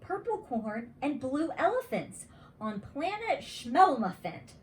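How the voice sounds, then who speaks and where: A woman's voice: high-pitched, very animated, takes it slowly, slight background noise, pitched above the performer's own voice, exaggerated voice. A woman voicing an earnest, anxious young boy from a children's storybook, eager and unsure of himself. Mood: eager, silly.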